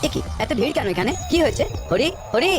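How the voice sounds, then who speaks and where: Female actor as brave young prince, polite, determined, fast pace, animated intonation, cartoon. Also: a little high, over loud music, close to an everyday voice. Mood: alarmed. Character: earnest, brave young prince, loyal friend. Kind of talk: animated fairy-tale cartoon acting.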